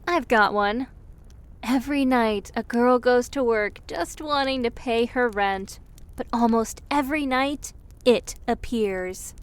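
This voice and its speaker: A woman playing a sensible, caring young friend in an audio roleplay, teasing the others in a dry, wry manner. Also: fairly high pitch. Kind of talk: interactive audio roleplay acting.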